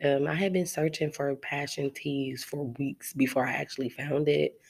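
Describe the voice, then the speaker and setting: Woman, low voice, stuffy nasal cold, chatty solo podcast, frequent laughs. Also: steady tone, normal speed, creaky, a little mellow, slightly rough. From Arizona. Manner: chatty, laughs often, addresses listeners directly. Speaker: podcast host. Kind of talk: casual solo podcast monologue.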